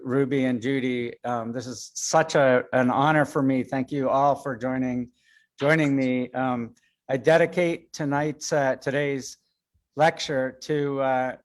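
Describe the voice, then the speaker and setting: A man from Chicago giving a casual lecture over a video call, hesitant with many fillers and self-deprecating. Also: medium pitch. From Chicago, United States.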